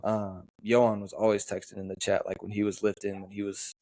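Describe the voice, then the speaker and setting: A young man from the western United States co-hosting a podcast, talking in a relaxed, easygoing way with frequent pauses. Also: lowish voice, dry recording, rough recording. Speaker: podcast co-host. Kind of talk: casual chat.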